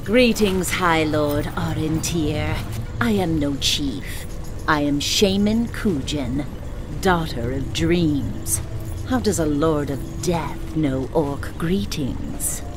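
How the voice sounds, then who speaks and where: A woman's voice: low-pitched, expressive, slow pace. An actress playing a proud, fierce orc shaman and mystic in a fantasy game, ceremonial and emphatic. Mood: proud, solemn. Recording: music behind the voice. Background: game music.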